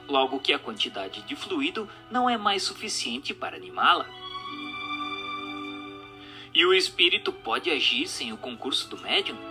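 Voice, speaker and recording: A man with a Brazilian accent giving a dramatized reading of a spiritual text in a formal, didactic, clearly enunciated manner. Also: medium pitch, pushed and bright. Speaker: narrator.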